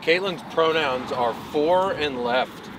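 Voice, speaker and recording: A man's voice: on the high side, slow, background noise. A man giving dry joking commentary on a golf match outdoors.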